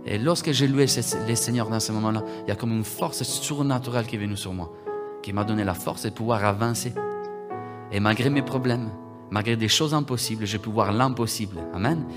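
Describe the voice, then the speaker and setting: A man from Italy, preaching a livestreamed sermon that repeats its phrases and builds from quiet talk to loud exhortation. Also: on the low side, brisk, over music. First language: Italian.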